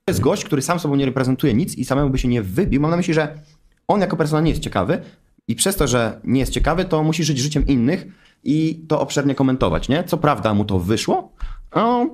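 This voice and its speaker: A young man talks fast and heatedly, defending himself with lots of swearing. He is speaking into a desk mic in a studio. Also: medium pitch, sing-song, darkish tone. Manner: emphatic, defensive, swears often. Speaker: guest on a stream. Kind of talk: heated interview answers. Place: studio with wooden wall. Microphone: desk microphone.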